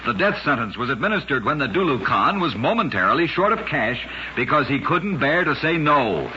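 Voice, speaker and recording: Dull lo-fi radio recording, male narrator, animated pitch, dramatic announcer delivery, scripted storytelling, faint noise. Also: moderate pace.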